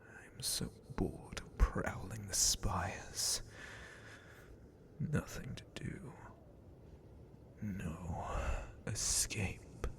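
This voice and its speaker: A man voicing an ancient, menacing, bored dragon captain in an ASMR roleplay, in a breathy, creaky whisper with a deliberate, condescending manner. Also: lowish voice, full character voice. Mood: bored, possessive.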